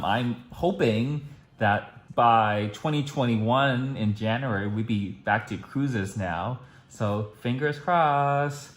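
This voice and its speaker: A man's voice: mid-range voice, a bit bright. A young man chatting casually to camera at home in a daily vlog, full of "like" fillers. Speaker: vlogger.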